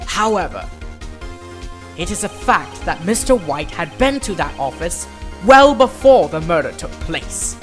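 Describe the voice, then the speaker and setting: A man voicing a composed, condescending prosecutor in a courtroom game fandub, speaking formally and deliberately. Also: high voice, sing-song, a bit bright, soft background music, full character voice. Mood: confident, arguing. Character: composed, haughty male prosecutor, condescending and exacting.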